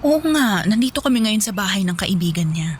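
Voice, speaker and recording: An actress playing a frank, flirty mature widow with a Filipino accent in a romantic radio drama. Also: female voice, medium pitch, animated, fairly quick, noisy background, natural voice. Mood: bored, complaining. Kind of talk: Tagalog radio drama acting.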